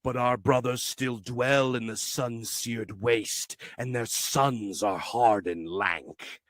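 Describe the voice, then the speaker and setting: A man recites verse with solemn, declamatory weight. His tone is bright and forceful, and he moves slowly through each measured phrase. The sound is dry, with very little room on it. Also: medium pitch, steady tone, no echo. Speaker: poetry reader. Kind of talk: dramatic poetry recitation.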